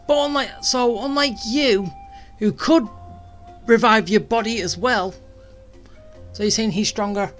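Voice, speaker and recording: A woman's voice: a little high, a little slow, long pauses, faint music behind. A woman giving casual gameplay commentary as a streamer, musing aloud as she reacts. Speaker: game streamer.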